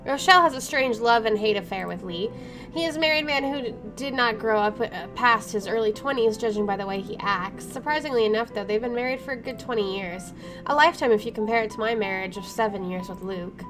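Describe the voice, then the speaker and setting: A woman's voice: on the high side, fairly quick. A woman doing a let's play, reading game text aloud with wry, informal asides and interrupting herself as she goes. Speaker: gaming streamer. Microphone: microphone placed to one side. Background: game music.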